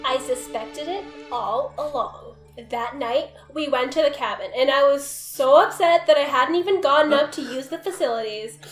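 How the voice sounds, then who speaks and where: A woman's voice: sits high, normal speed, few pauses, natural voice. A woman playing a troubled, vengeful young woman in an amateur dramatic story reading. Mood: suspicious. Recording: roomy echo, faint music behind.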